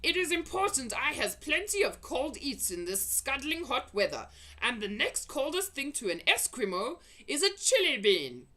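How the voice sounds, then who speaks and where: A woman's voice: sits high, sing-song, normal speed, hardly any pauses, sharp tone, voice pushed up, heavily acted. A woman voicing a huge, vicious, greedy male giant from a storybook, roaring insults in broken, folksy grammar. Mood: boastful. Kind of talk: storybook character voice.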